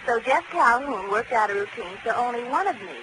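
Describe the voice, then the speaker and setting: An actress playing a flirtatious, breezily amoral carnival daredevil with an airy, teasing manner in a 1940s radio crime drama. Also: female voice, fairly high pitch, lively intonation, fairly quick, close to an everyday voice. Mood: breezy, flirtatious. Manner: coy, airy, nonchalant, teasing. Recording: noisy old 1940s radio broadcast.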